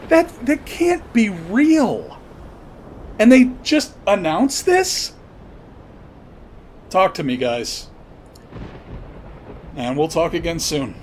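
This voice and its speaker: A man hosting a podcast, giving unscripted political commentary in a blunt, profane, halting way with pauses and repeated words. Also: wildly swooping pitch, a little mellow. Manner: halting, searching delivery with pauses and repetitions. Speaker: podcast host. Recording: noisy, with low rumble behind. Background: noise and rumble.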